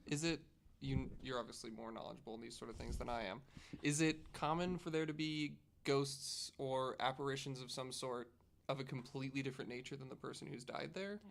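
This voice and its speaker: A man's voice: mid-range voice, fairly even intonation, brisk, vocal fry. A man chatting casually as a podcast panelist, inquisitive and dryly wry. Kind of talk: casual chat.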